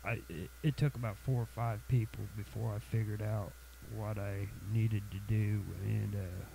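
A man with a cold hosting a casual software tutorial, halting and full of fillers and restarts. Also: fairly low pitch, flat delivery, creaky, background noise. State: has a cold, sniffly. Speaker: tutorial channel host.

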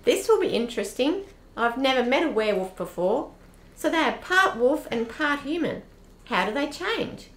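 An eager, curious young wife played by a woman in a radio play. Her voice is high and inquisitive. The recording is echoey with faint noise. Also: big pitch swings, normal speed, a bit bright. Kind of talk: amateur radio play acting. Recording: roomy, faintly noisy home recording.

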